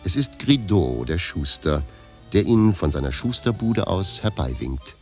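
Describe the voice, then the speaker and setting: A man giving scripted literary narration for a radio play in a measured, deadpan manner. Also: deep voice, faint music behind.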